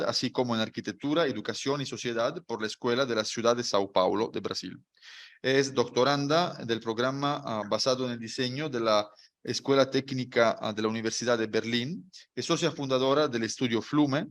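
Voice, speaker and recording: A man's voice: mid-range voice, a bit bright. A man moderating a conference, formally reading out a guest's introduction. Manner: formal, reads speaker bio.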